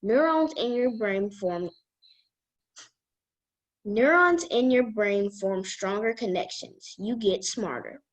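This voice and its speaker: A woman guest reader reading a children's book aloud in a deliberate way. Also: mid-range voice, lively intonation, poor audio.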